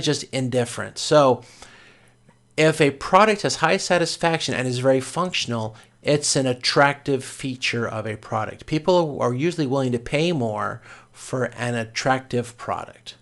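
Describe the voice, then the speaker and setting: A man's voice: mid-range voice, vocal fry, a bit bright. A man teaching as a course instructor in an informal recorded lecture, explaining step by step with everyday examples.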